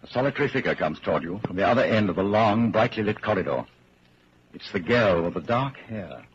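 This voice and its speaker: Man acting grave radio drama narrator, low voice, swooping intonation, deliberate, suspenseful storytelling. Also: lively intonation, natural voice. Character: grave narrator telling the listener his own story in second person. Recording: scratchy, muffled 1940s radio broadcast.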